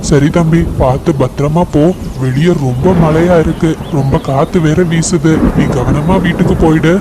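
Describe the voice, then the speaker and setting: A greedy elder eagle gloats over his next meal in a bossy big-brother tone, as a voice actor plays him in a cartoon. The voice sits at a middling pitch. A loud hiss of noise runs beneath it. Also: normal speed, lightly acted. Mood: caring. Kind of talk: cartoon voice acting.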